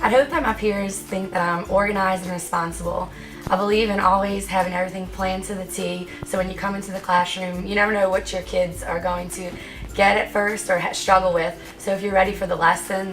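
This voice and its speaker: A woman's voice: fairly low pitch, little pitch movement, a little fast, fairly bright tone, soft background music. A woman who teaches fourth grade, giving earnest, explanatory interview answers about her teaching.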